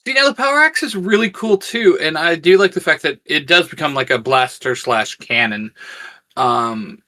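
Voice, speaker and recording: A man co-hosting a casual geeky review, reasoning through his opinions in a measured way. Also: a little high, pushed and bright. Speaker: co-host of a fan discussion show.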